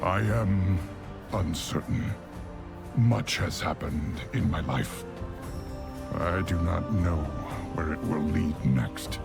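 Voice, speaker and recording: A man voicing an orc shaman and former war chief, speaking in a deep, gravelly, earnest way over a music score. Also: takes it slowly, full character voice. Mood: reflective. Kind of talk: video game cutscene acting. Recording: game score behind.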